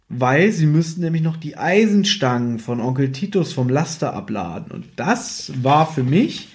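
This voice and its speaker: A man with a somewhat high-pitched voice co-hosts a podcast. He speaks casually and conversationally, going through a story as he would with a friend. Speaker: podcast host. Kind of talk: casual podcast discussion retelling an audio drama episode.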